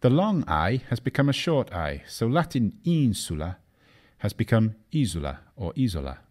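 Male narrator for scripted language lesson. Deep register, animated melody, didactic and precise delivery. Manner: measured, didactic, carefully enunciates foreign example words. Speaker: narrator of an educational language history video.

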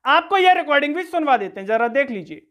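A man's voice: very high voice, sing-song, quick pace, a bit bright. A man presents political commentary in a rhetorical, questioning, accusatory way. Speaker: news commentary host. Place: small room indoors.

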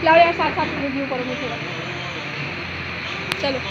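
A woman in her thirties reviewing a rooftop restaurant at night, chatty and enthusiastic, with frequent pauses, filmed on a phone at arm's length. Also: sits high, dull audio, over loud music. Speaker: food vlogger. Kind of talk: casual restaurant review vlog. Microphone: phone held at arm's length. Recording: loud traffic noise around. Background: traffic noise.

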